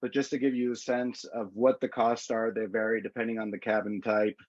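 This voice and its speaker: A man's voice: lowish voice, little pitch movement, fairly quick, a little mellow, no room sound. A man walks viewers through trip prices on a webinar, loose and conversational, full of ums and false starts. His voice comes over a dull, lo-fi video call and sounds dry, with no room around it. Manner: casual, many fillers and restarts. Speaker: tour organizer. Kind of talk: webinar presentation. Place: indoors in a city.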